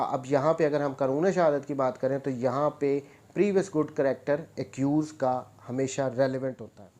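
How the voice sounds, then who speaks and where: A man's voice: on the high side, darkish tone. A man with a Pakistani accent gives an explanatory law lecture, reading statutes aloud, then rephrasing the points and mixing in English terms. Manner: repeats and rephrases points, mixes English terms into his speech.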